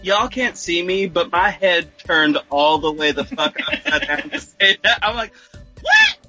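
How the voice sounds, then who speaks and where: A man's voice: sits high, huge pitch swings, measured pace, sharp tone, faint music behind, rough recording. A man in casual podcast banter, playful and exclamatory, swearing freely. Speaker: podcast co-speaker.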